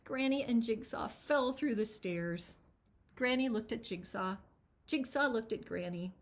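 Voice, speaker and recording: A woman reading a picture book aloud as its author, with expressive, dramatic storytelling. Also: fairly high pitch, unhurried. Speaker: children's author reading their own book.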